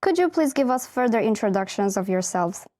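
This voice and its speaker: A woman's voice: fairly high pitch, animated, brisk, a little mellow. A woman hosting an info session, politely welcoming guests and asking questions with some hesitant fillers. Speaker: host.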